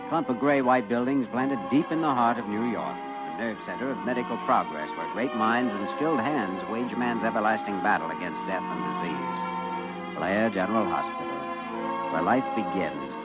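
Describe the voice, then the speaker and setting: An actor delivering grave, declamatory narration in a 1940s radio medical drama style. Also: male voice, fairly low pitch, animated, moderate pace, lightly acted. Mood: solemn. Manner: solemn, declamatory. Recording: dull vintage radio, loud music behind.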